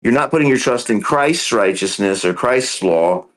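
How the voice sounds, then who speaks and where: A man's voice: medium pitch, animated, moderate pace, a bit bright, rough recording. A man hosting a podcast, earnestly explaining a theological point step by step, with frequent ums and restarts. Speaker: podcast host. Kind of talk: casual theological discussion.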